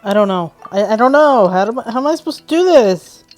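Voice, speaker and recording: A woman's voice: a little high, lively intonation, a little fast, darkish tone, no room sound, soft background music. A woman giving casual commentary while playing a game, muttering in exasperation. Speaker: game walkthrough player.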